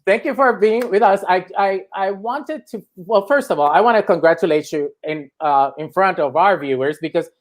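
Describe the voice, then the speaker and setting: Excitable gushing male talk show host. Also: high-pitched, normal speed, hardly any pauses. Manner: excitable and gushing, with frequent fillers. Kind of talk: live-streamed talk show interview.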